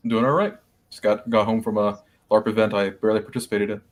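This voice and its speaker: A man taking part as a player in a tabletop role-playing game, speaking in character in an unhurried, halting way. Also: fairly low pitch, fairly even intonation.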